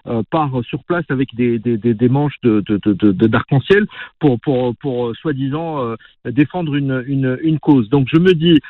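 A man's voice: medium pitch, moderate pace, dry recording. Male caller on a tinny phone line. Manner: hesitant, many restarts, earnest. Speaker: radio caller.

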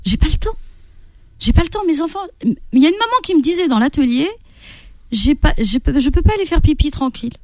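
A woman with a fairly high voice, whose first language is Arabic, talks as a guest on a radio show. She explains parenting advice with animation and acts out everyday scenes. The sound is dry and close. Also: fairly quick, no room sound. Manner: lively, animated, acts out parents' and children's quotes.

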